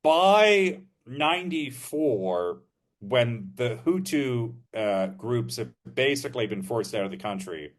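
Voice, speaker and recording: Man, podcast co-host, measured explainer, casual, filler-heavy. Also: mid-range voice, animated. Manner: measured, thinking aloud with many fillers.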